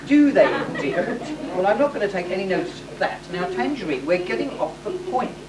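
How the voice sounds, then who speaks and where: A woman in an amateur stage pantomime playing a pompous middle-aged sheikh who is a princess's father, with theatrical, halting delivery. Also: mid-range voice, very animated, over a noisy crowd, natural voice. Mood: blustering. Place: hall stage, distant microphone. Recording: echoey hall from far off, noisy amateur tape.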